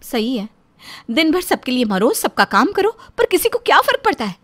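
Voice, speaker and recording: A woman's voice: sits high, very animated, normal speed. A woman voicing a fed-up, demanding young housewife who whines and complains in a cartoon story. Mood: bitter, grumbling. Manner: whining, complaining. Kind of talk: Hindi cartoon story acting.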